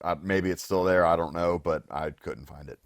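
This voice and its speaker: A man co-hosts a podcast in a somewhat low, hoarse voice. He talks casually and at length, hesitating and working out his thoughts aloud. The sound is close and dry, with no room around him. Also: fairly even intonation, normal speed, no room sound. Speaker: podcast co-host. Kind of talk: casual unscripted chat about game shows.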